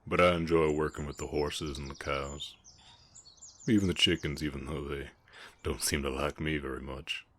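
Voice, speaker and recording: A man voicing a big, gentle, guarded orc ranch hand with a Southern US accent, speaking politely and haltingly in an intimate Western ASMR roleplay. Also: very deep voice, steady tone, a little hiss or hum. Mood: subdued, wistful. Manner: halting, polite, soft-hearted drawl with folksy turns.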